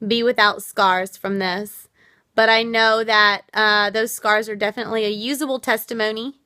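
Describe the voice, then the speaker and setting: A woman's voice: medium pitch, bright, forceful tone, smooth, no room sound, clean studio sound. A woman from the United States hosting a casual live stream, earnest and reflective as she answers viewer comments, her voice worn out. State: losing her voice. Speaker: livestream host.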